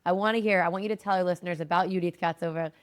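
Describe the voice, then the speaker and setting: A woman's voice: on the low side, fairly even intonation, racing. A young woman from Tennessee hosting an interview podcast, keen and casual, filling her sentences with "like" and "you know". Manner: enthusiastic, uses casual fillers like "like". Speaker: podcast host. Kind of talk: interview conversation.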